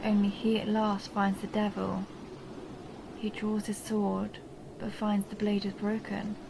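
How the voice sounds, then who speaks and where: A woman playing a seductive, possessive vampire countess in an intimate audio roleplay, speaking slowly and teasingly, often dropping toward a breathy whisper. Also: medium pitch, completely flat delivery, noisy background. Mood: curious, amused. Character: seductive possessive vampire countess toying with her human captive. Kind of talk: intimate dark romance audio roleplay, ASMR-like.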